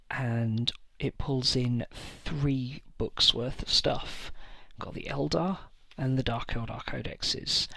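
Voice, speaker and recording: Creaky, bright-toned male hobby host. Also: medium pitch, no echo. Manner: hesitant, many fillers and pauses. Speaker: tabletop wargaming channel host. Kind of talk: casual list-building talk to camera.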